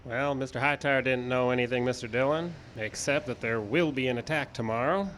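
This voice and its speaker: A man's voice: mid-range voice, a little fast, fairly bright tone, lightly acted. A man playing a plain-spoken, inquisitive deputy sidekick in a live radio western, folksy and deferential. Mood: curious. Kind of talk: live old-time radio western acting. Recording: faint live outdoor stage noise.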